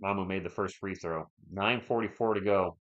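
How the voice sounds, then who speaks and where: Hoarse man calling a game live. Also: sits low, moderate pace, a bit bright. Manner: chatty, reads and answers viewer chat between plays. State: sore throat, losing his voice. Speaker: live play-by-play streamer. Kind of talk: casual live play-by-play with chat. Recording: dull lo-fi stream audio.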